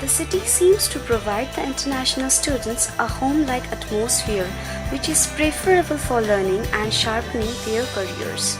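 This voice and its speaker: High-voiced woman narrating over loud music. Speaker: documentary narrator and interviewer. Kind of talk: scripted narration and interview questions.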